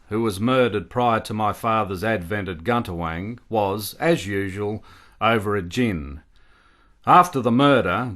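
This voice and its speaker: An actor playing a matter-of-fact, callous colonial squatter's son reminiscing in a radio play. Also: male voice, medium pitch, a bit bright.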